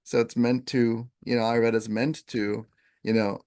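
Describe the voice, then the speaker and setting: A man who teaches Ayurveda, explaining in a relaxed, conversational way with plenty of fillers. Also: mid-range voice, normal speed. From Italian first language. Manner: relaxed, filler-heavy, chants Sanskrit verses.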